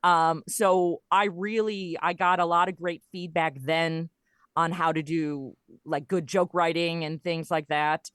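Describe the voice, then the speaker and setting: A woman's voice: fairly low pitch, fairly even intonation, measured pace, fairly bright tone, no echo, studio quality. A middle-aged woman, chatting casually and jokily as an interview guest. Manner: chatty, jokey, informal. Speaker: podcast guest, comedy writer and audio performer.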